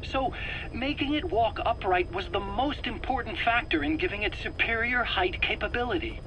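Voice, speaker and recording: A man's voice: very high voice, big pitch swings, normal speed, bright, forceful tone, heavily acted, low-quality recording. A man playing a defensive, rambling weapons scientist under interrogation in a video game, hesitant and prone to stammering as he explains. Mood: earnest explaining. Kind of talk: dramatic video game voice acting. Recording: faint background noise on cassette recording.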